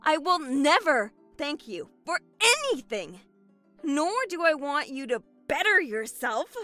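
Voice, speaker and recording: Woman acting bitter, defiant survivor, higher voice. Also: big pitch swings, measured pace, pushed and bright, soft background music, heavily acted. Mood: furious, exasperated. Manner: curt, sarcastic, guarded. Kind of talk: audio horror drama acting.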